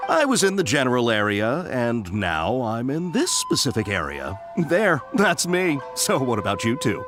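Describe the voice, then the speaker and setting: A man's voice: medium pitch, huge pitch swings, moderate pace. Jovial eager tech guy, video game, hugely swooping theatrical delivery, faint music behind. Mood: jaunty, boastful. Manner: jaunty, theatrical, rambling. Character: chatty, jovial foreign male technician, quirky and eager. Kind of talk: animated video game voice acting.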